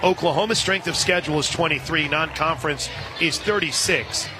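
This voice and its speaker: An American man calling live softball play-by-play on the radio, slipping into conversational asides between pitches. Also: a little fast, over music. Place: outdoor softball stadium. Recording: noisy outdoor stadium broadcast, loud crowd. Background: crowd and stadium noise.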